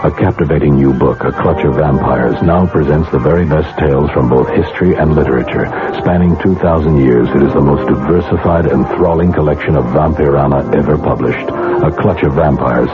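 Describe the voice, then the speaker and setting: A man's voice: very deep voice, brisk, hardly any pauses, phone-quality sound. A man reading a dramatic book commercial in an ominous, theatrical manner. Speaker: ad announcer. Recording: thin vintage radio with loud music.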